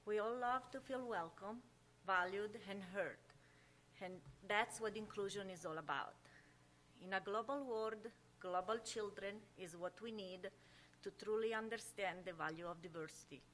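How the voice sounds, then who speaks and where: A woman's voice: medium pitch, moderate pace, fairly bright tone, slight background noise, poor audio. A woman speaking at a meeting's public comment, delivering an upbeat prepared statement. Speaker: parent giving public comment.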